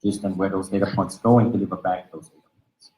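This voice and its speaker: Austrian German man presenting, low and echoey. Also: steady tone, fast talker, dark tone. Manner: explanatory, fillers like "basically" and "right?". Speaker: conference speaker. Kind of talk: conference presentation. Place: conference venue.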